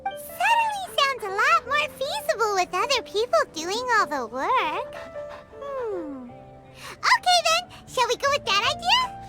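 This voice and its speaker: A tiny childish fairy sidekick from an anime game, extremely high and squeaky. The delivery swings widely in pitch, bossy and easily indignant. Prominent music plays underneath. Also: female voice, huge pitch swings, measured pace, exaggerated voice. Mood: eager, insistent. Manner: childish, indignant, speaks of self in third person. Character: small childish fairy companion, whiny, bossy, food-obsessed. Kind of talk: anime-style video game voice acting.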